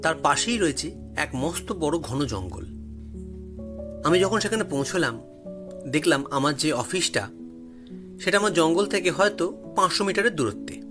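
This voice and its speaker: Male storyteller, slightly high voice, very animated dramatic narration, music underneath. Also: moderate pace, long pauses. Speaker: storyteller, channel host.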